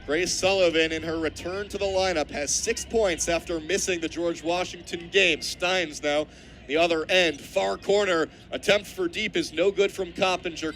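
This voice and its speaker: Young guy doing radio basketball play by play, high voice, animated on big plays, bit of game noise behind him. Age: 20s. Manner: animated, descriptive calls that swell on big plays. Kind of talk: live radio sports commentary. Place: courtside at basketball games. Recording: background noise of a live game.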